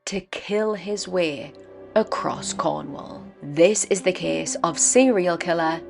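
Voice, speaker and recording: Croaky woman hosting a podcast. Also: fairly low pitch, music in the background. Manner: earnest, measured storytelling with emphatic stresses. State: croaky, dry voice from a cold. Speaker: true crime podcast host. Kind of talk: scripted true crime narration.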